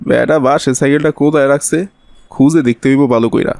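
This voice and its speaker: A man's voice: mid-range voice, fairly even intonation, a little fast, vocal fry, a little mellow, no echo, lightly acted. A man voicing a sly, greedy, scheming thief in a cartoon, with a colloquial Bangladeshi Bengali accent. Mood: sly, gloating. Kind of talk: cartoon voice acting.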